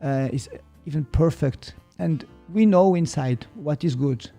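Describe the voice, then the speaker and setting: A man with a Swiss German accent, explaining ideas on a podcast in a slow, reflective way and sometimes searching for English words. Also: a little high, warm tone, faint music behind. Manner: slow, thoughtful, gets stuck on English words. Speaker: podcast guest, martial arts teacher.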